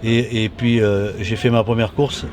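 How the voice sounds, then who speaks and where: A man in his sixties with a mild Toulon accent, a former racer recalling his past in a halting, restart-filled way. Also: on the low side, flat delivery, darkish tone, slight background noise. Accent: mild Toulon southern French. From Toulon, southern France. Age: 60s. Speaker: former motorcycle racer, guest. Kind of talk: casual interview reminiscence. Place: at a racing circuit.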